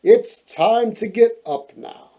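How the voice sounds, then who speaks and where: Man doing a gentle, drawn-out young storybook prince. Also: sits high, pitched above the performer's own voice. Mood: gentle, reassuring.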